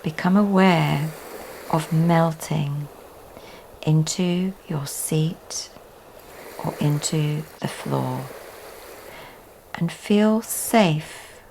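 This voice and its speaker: A woman teaching yoga leads a guided relaxation meditation in a soft, often breathy delivery with long pauses. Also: sits low, rough recording. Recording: faint background noise.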